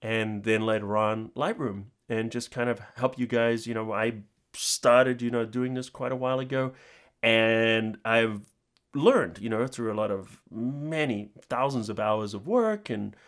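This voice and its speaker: A man's voice: medium pitch, measured pace. Casual New man talking to viewers. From New Zealand. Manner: informal, rambling, addressing viewers directly. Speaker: tutorial channel host.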